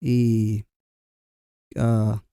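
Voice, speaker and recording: Very dark-toned Guatemalan man, native K'iche' speaker. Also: fairly low pitch, completely flat delivery, drawn-out words, clean tone, dry recording, clean studio sound. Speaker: podcast guest. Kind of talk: conversational personal storytelling.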